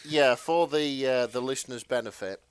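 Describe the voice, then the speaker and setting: A man's voice: medium pitch, moderate pace, clean tone, dry recording. A man co-hosting a podcast in casual chat, talking in a hesitant, sweary way. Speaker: podcast co-host.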